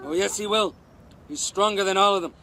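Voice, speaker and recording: An actor playing a harsh, stubborn, defensive greenhorn husband driving a dog sled in a radio drama, barking commands. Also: male voice, sits high, fairly quick, sharp tone. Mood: furious.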